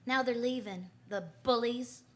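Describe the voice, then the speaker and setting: A woman's voice: on the high side, a little slow, lightly acted. A woman with an American accent playing a keen, supportive frontier townswoman in a radio western. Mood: approving. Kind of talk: old-time radio western acting. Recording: very noisy recording.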